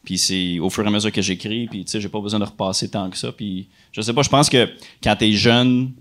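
A man with a Quebec French accent and a lowish voice. He chats in a loose, informal way as a podcast guest, dropping English slang into his sentences. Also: fairly even intonation, brisk, fairly bright tone. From Quebec, Canada. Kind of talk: casual chat.

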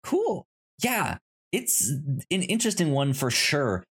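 A man co-hosting a casual film discussion, speaking haltingly and often repeating syllables and words in a stutter. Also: a little high, huge pitch swings, slightly rough. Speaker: podcast co-host.

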